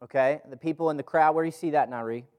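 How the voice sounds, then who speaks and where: A man's voice: fairly high pitch, fast talker, darkish tone, smooth. A man leading a group Bible study in an informal, conversational preaching style, asking rhetorical questions and repeating phrases for emphasis. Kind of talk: informal teaching sermon with scripture reading. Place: room with a group gathered.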